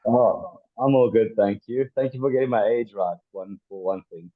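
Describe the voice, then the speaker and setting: A South African man in his twenties talks through his past as a guest on a podcast. His voice sits on the low side and comes through a muffled, lo-fi recording. He hesitates a lot, filling gaps and restarting sentences. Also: normal speed. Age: 20s. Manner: hesitant, many ums and restarts. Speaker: podcast guest, former rugby player. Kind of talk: casual interview chat. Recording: dull lo-fi sound.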